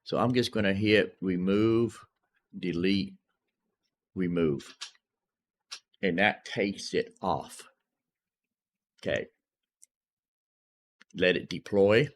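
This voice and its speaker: A man's voice: sits low, slow, long pauses. A man casually commentating as a gaming streamer, thinking aloud and informally talking through each step. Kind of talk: casual gameplay and modding commentary. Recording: clearly noisy background.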